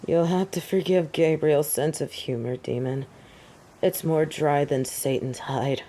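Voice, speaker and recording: A woman's voice: low voice, unhurried, dark tone. A woman playing a proud, imperious angel in a fantasy audio drama, with formal, solemn and haughty diction. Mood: weary, dry. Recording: roomy echo, distant from the mic.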